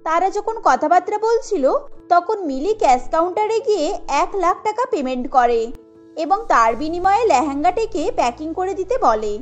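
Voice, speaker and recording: Very high-pitched woman storyteller. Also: sing-song, moderate pace, soft background music. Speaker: narrator. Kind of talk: scripted story narration.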